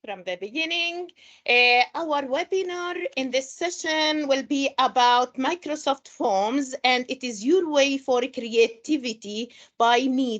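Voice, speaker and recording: A woman's voice: high voice, fairly bright tone. A woman from Palestine presenting an online teacher-training webinar, patiently greeting participants and repeating instructions.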